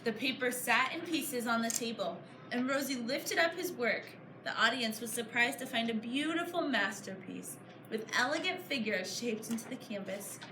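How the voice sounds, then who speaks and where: A woman reading a storybook aloud to a class of children, pausing to put questions to them in an encouraging, teacher-like way. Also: on the high side. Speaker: author reading her book to a class. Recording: echoey room, clear background noise. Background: children answering, room noise.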